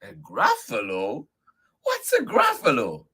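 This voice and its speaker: A man's voice: mid-range voice, wildly swooping pitch, slow, sharp tone, breathy edge, full character voice. A man voicing a hungry snake in a picture book who coaxes a mouse to a feast. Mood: inviting.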